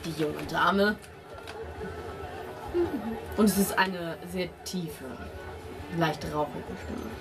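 A woman's voice: lowish voice, fairly even intonation, drawn-out words, pauses often, far from the mic, a crowd in the background. A woman narrating a tabletop role-playing game as game master, describing scenes piece by piece as she goes. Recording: music playing behind the voice.

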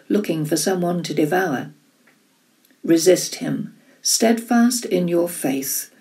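Woman reading scripture, deep and gravelly. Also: mellow, recorded from a distance. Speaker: scripture reader. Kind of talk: slow, deliberate scripture reading.

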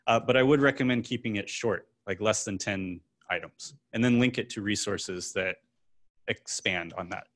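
A man's voice: fairly low pitch, normal speed, a bit bright. A man speaking at a meetup, answering audience questions informally with practical explanations. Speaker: application security speaker. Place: meetup room before a seated audience.

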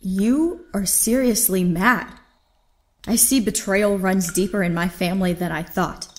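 An actress playing a defiant, idealistic young niece in an audio drama, earnest and indignant. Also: female voice, medium pitch, a little slow, natural voice. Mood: accusing.

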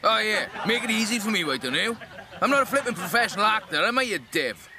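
A man's voice: sits high, expressive, fast, crowd noise. A British man voicing a small round creature, an indignant, exasperated straight man in a video game comedy sketch. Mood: wry, irritated. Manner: indignant, theatrical. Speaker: stand-up comedy double act straight man.

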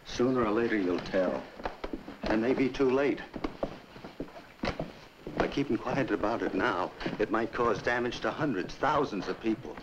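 Low-voiced, insistent American investigator played by an actor. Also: male voice, unhurried, long pauses, lo-fi sound, close to an everyday voice. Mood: grave, persuading. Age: middle-aged. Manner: insistent, earnest, clipped. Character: stern, urgent male government investigator pressing a friend. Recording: old film soundtrack with hiss.

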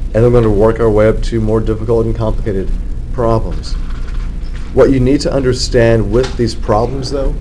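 Man, teacher type, mellow low-key tone, conversational, quizzes the class as he goes. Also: a little hiss or hum, low-quality recording. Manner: conversational, quizzes students, repeats words. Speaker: math teacher. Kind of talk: classroom lesson explanation.

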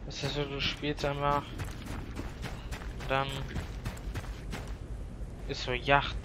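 A man chats casually over a video game, thinking aloud in short, rambling fragments. His delivery is slow and flat, with clear noise behind his voice. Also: mid-range voice. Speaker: gaming video creator. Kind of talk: casual gameplay commentary.

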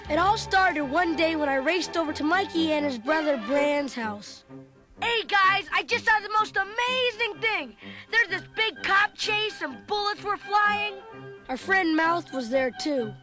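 An actor voicing an excitable young boy who narrates a storybook read-along in an earnest, dramatic manner. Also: very high-pitched, unhurried, over loud music. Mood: excited, insistent.

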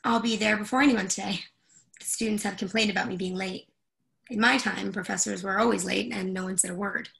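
A woman's voice: medium pitch, steady tone, fairly quick, vocal fry, fairly bright tone, low-quality recording. A woman in a play reading, playing a stressed, demanding professor with an emphatic, impatient manner. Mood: hurried, irritated. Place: indoors at home, on a video call.

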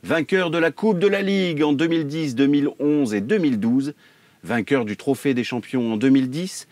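A man's voice: mid-range voice, a little mellow, no echo. Man, 40s, tv host, upbeat, lapel mic, dry close sound. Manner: upbeat introduction, reading a list. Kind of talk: studio presenting. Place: dark television studio.

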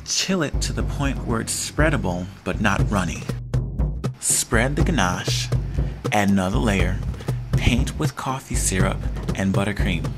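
A man, host of a home cooking show, reading scripted step-by-step recipe narration in a steady instructional way. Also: lowish voice, measured pace. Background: loud music.

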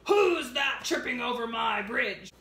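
A woman's voice: on the high side, animated, unhurried, gravelly, fairly bright tone, roomy sound, heavily acted. A woman voicing a mean old troll, blustering and menacing in a storybook reading. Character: mean old troll under a bridge, greedy and gruff.

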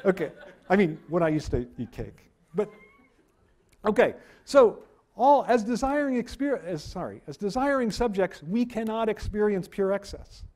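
Animated high-voiced man lecturing. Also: moderate pace. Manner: animated, self-deprecating, gestures a lot. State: losing his voice. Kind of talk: university lecture. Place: wood-paneled room, indoors.

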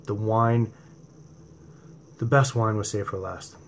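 A man in his forties with a New England accent, preaching informally to his viewers from home and talking into a webcam, swinging from impassioned, rising emphasis to a quieter conversational tone. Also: lowish voice, slow, a little hiss or hum. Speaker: religious video host. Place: home room indoors at night. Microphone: webcam or laptop camera from below.

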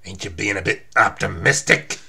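A man voicing a tough, cranky comic-book hero who growls his threats gruffly. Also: low-pitched, lively intonation, normal speed, bright, forceful tone, exaggerated voice. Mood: defiant.

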